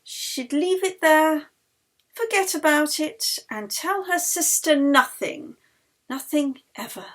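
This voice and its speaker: A woman reading her novel aloud in expressive audiobook narration, with a husky, breathy voice and a dramatic manner that drops to hushed phrase endings. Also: sits high, slow pace.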